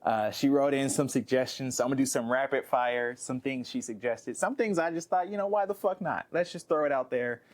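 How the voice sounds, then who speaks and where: A man's voice: medium pitch, brisk, few pauses. A young man co-hosting a podcast, chatting casually, swearing freely and goofing around with frequent laughter. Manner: profane, goofy, laughs often. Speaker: podcast co-host.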